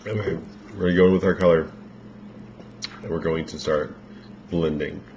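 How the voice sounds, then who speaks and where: Deep-voiced man, rambling makeup tutorial. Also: normal speed. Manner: relaxed, rambling instructions with fillers. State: losing his voice. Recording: dull lo-fi home recording with faint noise.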